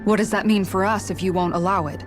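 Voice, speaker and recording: A woman's voice: mid-range voice, fairly even intonation, fast talker, faint music behind, close to an everyday voice. A woman playing a practical, serious party member in a video game cutscene, direct and questioning.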